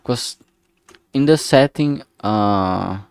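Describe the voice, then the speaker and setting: A man's voice: lowish voice, very slow, creaky, clean tone, no echo. A man thinking aloud casually as he works, speaking hesitantly and often trailing off mid-thought. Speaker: streamer making a visual novel.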